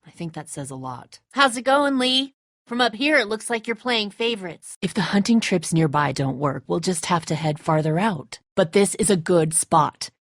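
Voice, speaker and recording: A woman's voice: fairly low pitch, animated, moderate pace, no echo, clean studio sound. A woman playing a tough, bitter, defensive apocalypse survivor in a dramatic video game, speaking in a terse, confrontational way. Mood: subdued, reflective, then sarcastic.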